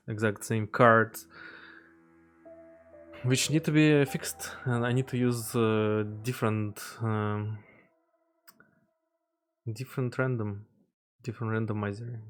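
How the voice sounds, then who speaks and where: A man who is not a native English speaker, an indie game developer talking through his game in a casual devlog voice-over, halting often with fillers and restarts. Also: mid-range voice.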